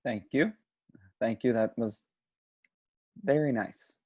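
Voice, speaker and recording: A man's voice: medium pitch, slow pace, warm tone, husky. A man playing an awkward, resentful grown son in an amateur script read. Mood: nervous, subdued.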